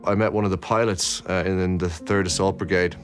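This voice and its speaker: Irish male interviewee, low and flat in pitch, measured commentary. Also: soft background music. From Ireland. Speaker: filmmaker being interviewed.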